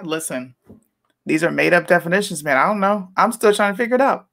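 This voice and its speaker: A woman's voice: fairly low pitch, animated, fairly quick, vocal fry, a bit bright. A woman co-hosting a casual political chat, speaking emphatically and conversationally. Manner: emphatic, conversational, leans on "listen" and "okay". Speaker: podcast co-host.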